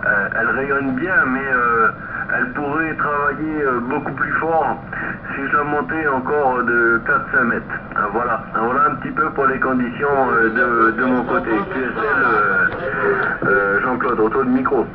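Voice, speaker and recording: A man's voice: mid-range voice, unhurried. A man working as an amateur radio operator, giving an unscripted contact report over the radio in a hesitant, repetitive way. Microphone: radio microphone. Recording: very noisy, phone-like radio transmission.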